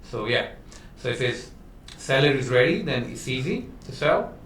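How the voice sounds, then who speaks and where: A man's voice: medium pitch, big pitch swings, normal speed, fairly bright tone. A man hosting a business podcast, talking casually, with many fillers and restarts. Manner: conversational, lots of fillers and restarts. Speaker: podcast host. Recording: roomy echo, distant mic, faint noise.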